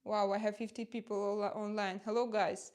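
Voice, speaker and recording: A forty-something woman speaks English with a noticeable Russian accent, chatting at an easy, unscripted pace. She often pauses on fillers and restarts her sentences as she talks to her stream audience. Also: medium pitch, little pitch movement, a little mellow. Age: 40s. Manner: chatty, halting, many fillers, reads out viewer comments. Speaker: live streamer. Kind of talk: casual livestream chat while doing makeup.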